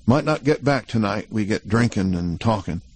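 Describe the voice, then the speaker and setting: A man's voice: on the low side, expressive, normal speed, husky. A man reading an audiobook, voicing a guarded young ranch hand who talks in short, blunt rural phrases. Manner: blunt, clipped rural talk.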